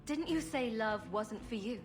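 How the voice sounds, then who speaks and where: An actress playing a wry, blunt, sharp-tongued woman adventurer in a video game. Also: fairly high pitch, lively intonation, normal speed, soft background music, natural voice. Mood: dry, teasing.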